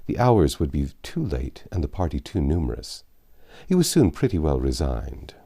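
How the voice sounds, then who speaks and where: A man's voice: rumbling low, very animated, normal speed, gravelly, warm tone, husky. A man narrating a classic novel aloud as an audiobook.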